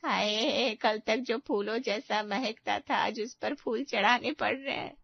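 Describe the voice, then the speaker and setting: A woman voicing a melodramatic, easily frightened village aunt with wailing, drawn-out exclamations in a story. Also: a little high, vocal fry, no echo, lo-fi sound. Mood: tearful grief.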